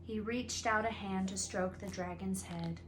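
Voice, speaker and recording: A woman reading a storybook aloud as a teacher, in an expressive narrating manner. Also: medium pitch, measured pace, background noise.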